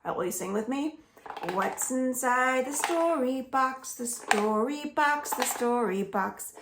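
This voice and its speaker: Slow singsong woman reading storytime. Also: high-pitched, expressive, reverberant, very noisy. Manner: slow, singsong and coaxing, asks the listeners to join in. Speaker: children's librarian. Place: at home.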